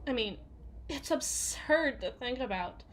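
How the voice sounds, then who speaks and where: A woman playing a jaded, foul-mouthed bodyguard confiding in a partner in an intimate ASMR roleplay, halting and often sinking into whispers. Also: sits high, expressive. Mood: earnest.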